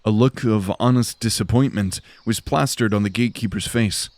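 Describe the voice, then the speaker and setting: A man's voice: fairly low pitch, normal speed, a little mellow, dry recording. A man giving dramatic scripted narration for a fantasy audio drama.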